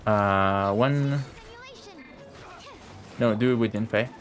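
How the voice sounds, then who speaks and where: A man's voice: on the low side, slow, faint music behind. A man giving casual, offhand live game commentary, swearing and muttering to himself as he plays. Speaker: game streamer.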